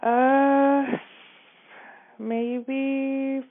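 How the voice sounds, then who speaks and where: A woman's voice: sits high, little pitch movement, drawn-out words. A woman giving a casual, informal account in a phone interview, often checking that she is understood. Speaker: store manager giving a witness account. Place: telephone call. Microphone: phone line. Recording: narrow muffled phone-line audio.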